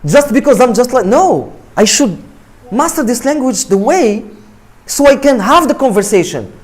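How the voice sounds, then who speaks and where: Lively-pitched man giving an emphatic talk. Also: normal speed. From Morocco. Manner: emphatic, repetitive, asks "right?" often. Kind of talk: motivational talk to students.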